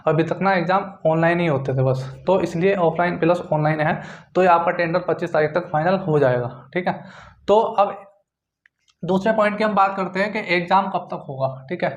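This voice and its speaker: A man informally explaining exam news to viewers, repeating points and often adding check-in tags. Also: sits high. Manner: repetitive, punctuated with check-in tags. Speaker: exam-news presenter for job aspirants. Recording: dull lo-fi, roomy echo.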